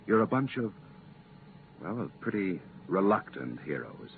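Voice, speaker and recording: An actor playing an earnest, regretful but firm commission official in a 1950s radio drama, speaking deliberately and emphatically. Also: male voice, low voice, very animated, vocal fry, exaggerated voice. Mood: earnest, insistent. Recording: muffled, noisy 1950s radio transcription.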